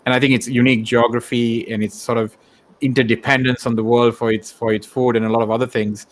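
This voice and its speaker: Mid-pitched man from Sri Lanka, hosting. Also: little pitch movement, fast talker. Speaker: show host, interviewer. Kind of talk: casual interview chat.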